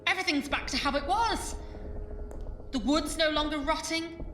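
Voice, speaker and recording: A woman playing a plucky, bewildered companion in an audio drama, with an incredulous, expressive delivery. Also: high-pitched, moderate pace, a little hiss or hum. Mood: alert, defiant. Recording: echoey room sound.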